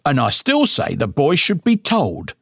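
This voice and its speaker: A stubborn, eccentric father arguing his point in an audiobook comedy, as a man puts him on. The voice is pushed higher than the reader's own and louder, with a husky edge. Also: huge pitch swings, a little slow, dry recording, clean studio sound, full character voice. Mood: insistent. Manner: insistent, argumentative.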